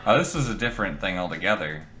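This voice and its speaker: A man's voice: sits low, lively intonation, fast talker, sharp tone, echoey room. A man chatting casually and with mild swearing as he plays a video game, in a laid-back manner. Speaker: co-host of a gaming chat show. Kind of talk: casual chat while playing a video game. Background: music.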